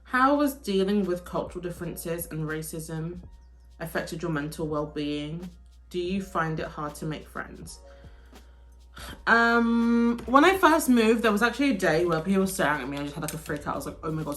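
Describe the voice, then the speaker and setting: A woman's voice: fairly low pitch, normal speed, fairly bright tone, soft background music. A young woman from the UK chatting casually through a get-ready-with-me Q&A, full of "like" and restarts. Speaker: vlogger, teacher in Korea.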